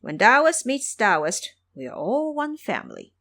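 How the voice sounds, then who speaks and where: A woman's voice: on the high side, very animated, unhurried, a bit bright, dry recording, voice pushed up. A woman voicing a cunning, brash male monkey hero in an audio drama, with a sly, boastful, theatrical manner. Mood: sly, smug. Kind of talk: audio drama character acting.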